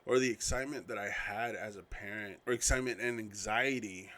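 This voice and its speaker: A man's voice: lowish voice, brisk, pushed and bright. A man in his thirties hosting a casual solo podcast, a father reminiscing in a rambling, self-correcting way. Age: 30s.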